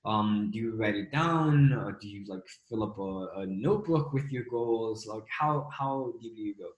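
A man's voice: on the low side, lively intonation, moderate pace, echoey room, poor audio. A man hosting a podcast in a casual interview, affirming his guest and asking easy follow-up questions. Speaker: podcast host.

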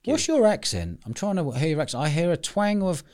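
A man's voice: fairly high pitch, big pitch swings, brisk, a little mellow. A man with a British accent hosting a podcast, asking blunt, probing questions in a casual conversational way. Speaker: podcast host.

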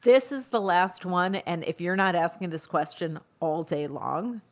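A middle-aged woman co-hosting a podcast in casual conversation, thinking aloud in quick bursts with frequent restarts. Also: lowish voice. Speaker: podcast co-host.

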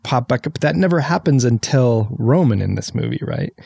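A man with a mid-pitched voice talks casually as co-host of a film podcast. He is inquisitive and tends to think out loud. The recording is very dry, free of room sound. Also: animated, a little fast, creaky, slightly rough, no room sound.

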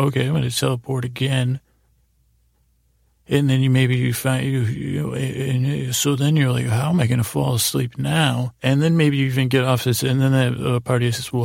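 A man with a heavily creaky, gravelly voice, recorded close and dry. He hosts a podcast as a rambling bedtime monologue. His delivery meanders and halts, often circling back to repeat words. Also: medium pitch, darkish tone, no echo. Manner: meandering, halting, repeats himself. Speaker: podcast host.

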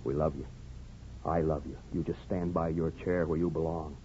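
Blunt, dark-voiced small-town man. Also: extremely low pitch, fairly even intonation, moderate pace. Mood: soothing, firm. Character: blunt, hearty, loyal small-town friend of a barber. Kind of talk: 1950s radio drama acting. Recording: muffled, noisy old radio broadcast.

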